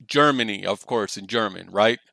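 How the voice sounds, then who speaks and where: A man's voice: fairly low pitch, normal speed, creaky, pushed and bright, no room sound. A man hosting a podcast, chatting casually with a guest in an interview style. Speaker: podcast host.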